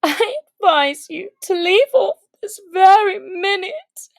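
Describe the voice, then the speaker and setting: A woman's voice: very high voice, animated, slow, creaky, pitched above the performer's own voice, exaggerated voice. A woman voicing a curious, earnest young girl who talks to herself in exclamations in a children's storybook. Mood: sharp scolding. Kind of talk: expressive children's storybook character reading.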